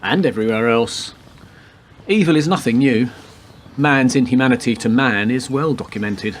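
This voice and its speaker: A man playing a laconic, deadpan police detective with a macabre wit in a radio play. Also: medium pitch, normal speed, a little hiss or hum, natural voice. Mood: wry, matter-of-fact. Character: wisecracking male police detective with macabre humour. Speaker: actor in a community radio play. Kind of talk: radio drama dialogue.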